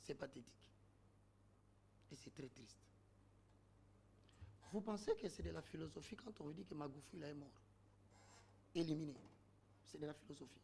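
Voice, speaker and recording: A man's voice: fairly high pitch, normal speed, gravelly, pauses often, mellow, slight background noise. A man, arguing his point as a guest in a heated panel debate, oratorical and full of rhetorical questions.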